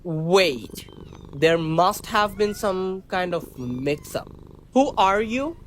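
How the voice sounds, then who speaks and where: A man's voice: high voice, big pitch swings, slow, a bit bright, slight background noise, exaggerated voice. A man playing a vain, bumbling male starship captain in a sci-fi radio comedy, self-important and melodramatic.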